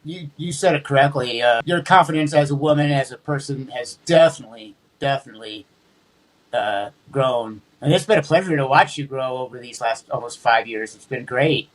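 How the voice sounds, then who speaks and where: A man's voice: medium pitch, normal speed, rough recording. A man who speaks as a guest in a casual interview, hesitating and repeating words as he thinks. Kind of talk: conversational interview.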